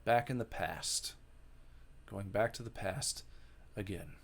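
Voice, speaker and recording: A man's voice: fairly low pitch, slow, creaky. A man reading out scripted narration of a visual novel in a dramatic reading.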